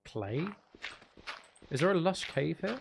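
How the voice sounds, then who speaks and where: A man's voice: fairly high pitch, very animated, slow, gravelly, background noise. A man giving casual, rambling gameplay commentary, thinking aloud as he plays. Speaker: gaming video creator playing Minecraft.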